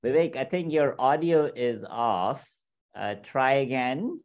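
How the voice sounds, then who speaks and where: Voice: medium pitch, animated, slow pace, a little mellow, dull audio. A class host on a video call, calling on students with brief, businesslike cues. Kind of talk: moderating an online class.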